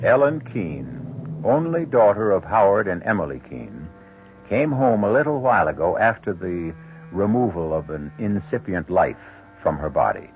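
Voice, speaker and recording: An actor as the ominous, literate host of a radio mystery, narrating in a formal, deliberate, rhetorical manner. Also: male voice, deep voice, very animated, phone-quality sound. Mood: grave, musing. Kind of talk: 1970s radio drama narration. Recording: thin 1970s radio recording over music.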